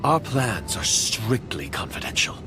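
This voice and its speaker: A secretive conspirator from a video game, wary and disdainful. His voice is on the low side but moves expressively through measured, formal phrases. Music is clearly heard behind him. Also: very animated. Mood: suspicious.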